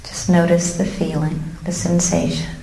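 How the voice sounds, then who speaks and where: A woman leading a guided meditation in a calm, gently instructive way with long pauses, recorded live in a room. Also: fairly low pitch, creaky. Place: room with a seated live audience. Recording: roomy live recording with faint noise.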